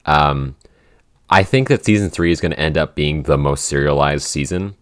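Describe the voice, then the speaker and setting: A man's voice: a bass voice, moderate pace, fairly bright tone, clear, resonant tone. A man, one of a podcast's creators, chatting informally and thinking aloud in loosely phrased, unscripted answers. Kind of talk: casual unscripted behind-the-scenes chat.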